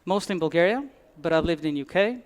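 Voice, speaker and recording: A man's voice: fairly high pitch, lively intonation, fast talker, clean tone. A man from Albania, giving a conference talk with dry humor and frequent restarts. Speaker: conference speaker. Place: conference hall.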